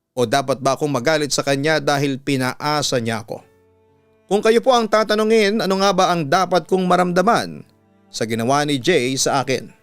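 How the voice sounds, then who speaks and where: A man with a clear, resonant voice narrates in the manner of a radio drama host. His pitch sits a little high for a man, and he speaks earnestly with deliberate pauses. Soft music plays behind him. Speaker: radio announcer and storytelling host. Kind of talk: dramatic reading of listener letters, scripted narration. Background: background music.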